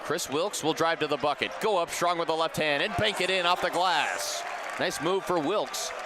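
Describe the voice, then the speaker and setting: A young American man calling live basketball play-by-play on radio with a projected, energetic delivery. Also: on the high side, normal speed. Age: young adult. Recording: noisy arena with crowd behind. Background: basketball crowd and bouncing balls.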